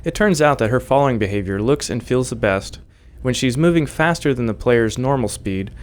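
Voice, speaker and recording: Average-pitched man in developer commentary. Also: animated, a little fast. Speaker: game developer. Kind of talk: scripted developer commentary narration.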